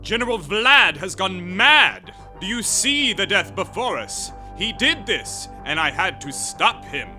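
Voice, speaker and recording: Man playing bright, indignant soldier hero. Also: high-pitched, sing-song, unhurried, hardly any pauses, soft background music, heavily acted. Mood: alarmed. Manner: indignant, sassy, plain-spoken. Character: big brutish male soldier hero, indignant and smart-mouthed.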